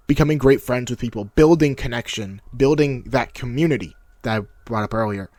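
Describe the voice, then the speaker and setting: A young man with a deep, steady voice, looking back on a game in a casual vlog. He moves between nostalgia and self-mocking humor. The recording is close and dry, with no room sound. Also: no room sound. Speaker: YouTube creator. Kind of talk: reflective personal vlog narration.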